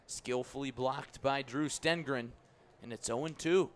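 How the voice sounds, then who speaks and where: A man working as a radio play-by-play announcer, calling live baseball in crisp, clipped phrases. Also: mid-range voice, unhurried, a little hiss or hum.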